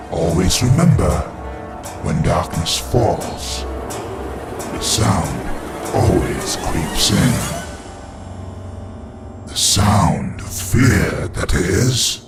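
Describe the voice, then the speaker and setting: A man playing a gloating, demented evil master of dark creatures as a theatrical, sinister horror podcast host. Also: lowish voice, full character voice. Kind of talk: in-character horror host monologue. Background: music.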